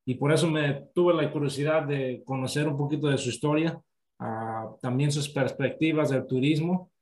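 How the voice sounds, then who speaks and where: A man introduces his guest politely, pausing on "este" as he gathers his thoughts. His voice sits at a middle pitch and carries the ring of an echoey room. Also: darkish tone. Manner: polite, hesitant, uses "este" fillers. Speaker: interview host. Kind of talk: hosting an interview.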